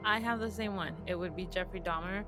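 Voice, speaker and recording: A woman's voice: on the low side, brisk, over music. A woman in her thirties co-hosting a casual live podcast, reading out fan questions and laughing often. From Southern California. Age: 30s. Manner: laughs often, chatty and animated.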